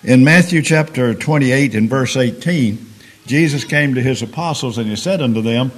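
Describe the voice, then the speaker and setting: A man preaches in a hoarse, raspy voice. He talks plainly, middle-aged, leaning on everyday examples and often restarting his sentences. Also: medium pitch, normal speed, rough recording. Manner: plain-spoken, homespun examples, frequent restarts. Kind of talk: sermon.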